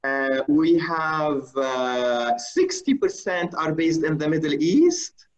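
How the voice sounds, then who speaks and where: A man hosting a webinar on a video call who runs an audience poll in a procedural way, pausing with fillers as he reads the results. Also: fairly high pitch, lively intonation, low-quality recording. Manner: procedural, pauses with fillers while reading the results. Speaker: webinar host. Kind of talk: moderating a live webinar poll.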